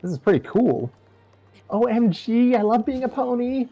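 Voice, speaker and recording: A man voicing an excitable, gushing young female cartoon pony in a fan story reading. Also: very high voice, moderate pace, muffled recording, faint music behind, voice pushed up, exaggerated voice. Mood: delighted.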